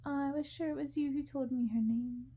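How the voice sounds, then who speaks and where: A woman's voice: sits high, fairly even intonation, moderate pace, warm tone, pitched above the performer's own voice, close to an everyday voice. A woman reading a storybook in ASMR style, voicing a timid, sweet young princess with a meek, girlish manner. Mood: meek, polite.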